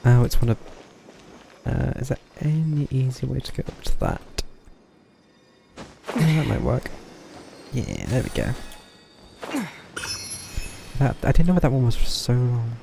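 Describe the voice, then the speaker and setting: A man's voice: medium pitch, big pitch swings, unhurried, vocal fry, pauses often, noisy background. A man streaming a game, giving casual live commentary and muttering half to himself.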